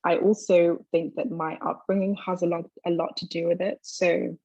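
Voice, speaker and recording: Low, flat-voiced young woman. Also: no echo. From London, England. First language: Twi. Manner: reflective, measured, with soft fillers. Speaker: guest. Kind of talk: thoughtful interview answers. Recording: slightly muffled video call audio.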